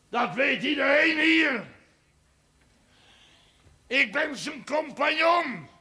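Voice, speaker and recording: An actor playing a furious, aggrieved village landowner with a Dutch accent, ranting in a gravelly, strained voice in a television drama. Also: male voice, very high-pitched, heavily acted. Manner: ranting, shouting, emphatic, coarse-mouthed. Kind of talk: television period drama acting.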